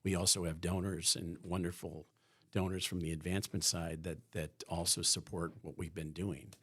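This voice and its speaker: A man's voice: low voice, level tone, normal speed, husky. A man from the Chicago area, a podcast guest from a school's staff, talking in a rambling way with many restarts. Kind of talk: conversational podcast interview.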